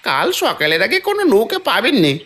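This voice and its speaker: A man's voice: very high-pitched, huge pitch swings, moderate pace, pushed and bright, voice pushed up, exaggerated voice. A man voicing a jittery rustic local man in a horror cartoon, with a folksy, urgent, sing-song way of talking. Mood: dismissive.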